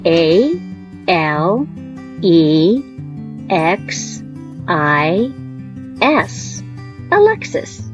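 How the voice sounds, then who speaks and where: A woman playing a patient, gentle figure teaching a child in an audio drama. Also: fairly low pitch, very animated, extremely slow, long pauses, highs cut off, music in the background, exaggerated voice. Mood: encouraging.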